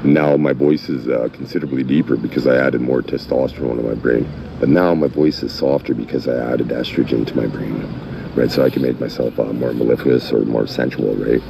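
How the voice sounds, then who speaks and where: Middle-aged man, extremely low voice, halting delivery with constant fillers, noisy phone recording. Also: fairly even intonation, gravelly. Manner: halting, many fillers and restarts. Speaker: channel owner. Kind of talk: rambling commentary, half-chanted lyric reading. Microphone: phone mic.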